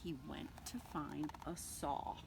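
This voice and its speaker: A woman reading a picture book aloud to young children like a nursery teacher, expressively, with dramatic pauses and drawn-out words. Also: low-pitched, fairly even intonation, vocal fry, background noise.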